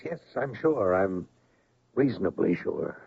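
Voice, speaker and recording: Casting: nervous conspirator, gravelly and hedging, guarded, muffled vintage radio sound. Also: male voice, deep voice, big pitch swings, unhurried, heavily acted. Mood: uneasy reassurance. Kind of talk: radio drama acting. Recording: dull old radio recording.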